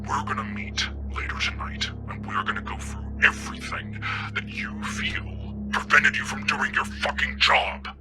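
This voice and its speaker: A man voicing a stern, gruff gang boss in a tabletop game, in a breathy, rough growl, curt and prone to swearing. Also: medium pitch, normal speed, voice pushed up, close to an everyday voice. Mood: stern, angry. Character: seething gruff male gang boss in a gas mask. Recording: background music under the voice.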